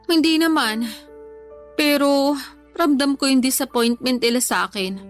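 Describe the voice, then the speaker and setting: A woman's voice: sits high, unhurried, soft background music. An actress playing a plaintive, self-pitying young woman who gets defensive, in conversational radio drama dialogue. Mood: defensive, hurt.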